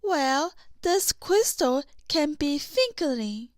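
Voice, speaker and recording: A kindly, wise mother troll from a storybook, put on by a woman reader. She talks in a high voice, slowly, with a dry studio-like closeness. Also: sing-song, no echo, heavily acted. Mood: cheerful.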